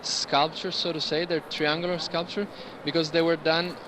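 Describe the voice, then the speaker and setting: A man giving an informal, explanatory talk on artworks as a guide, pausing to search for words. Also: a little high, flat delivery. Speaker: curator guiding an art fair tour. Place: large indoor art fair hall. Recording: clear background noise, busy fair hall.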